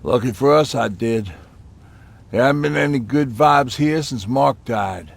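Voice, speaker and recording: A wry, folksy mechanic man for a game drama. His voice is gravelly and husky, sitting at a middling pitch, and he talks casually. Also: unhurried. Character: easygoing, wry resistance mechanic. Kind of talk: video game dramatic acting.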